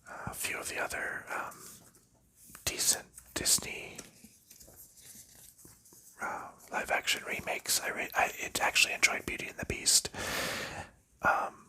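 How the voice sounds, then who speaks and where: A man whispering softly through an ASMR board game session, chatting in a rambling, easygoing way while sorting cards. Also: measured pace. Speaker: ASMR host.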